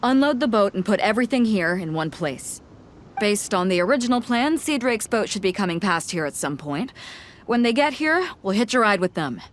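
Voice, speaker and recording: A woman's voice: mid-range voice, animated, normal speed, a little hiss or hum, natural voice. An actress voicing a bold, confident female sea captain in a video game, blunt and commanding. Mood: matter-of-fact.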